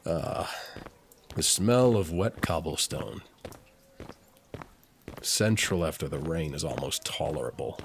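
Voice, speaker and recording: A man playing a suave, teasing, flirtatious military officer and alchemist in an intimate roleplay audio, speaking smoothly. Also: very deep voice, expressive, a little hiss or hum, heavily acted. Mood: teasing, admiring. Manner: smooth, unhurried, playfully teasing.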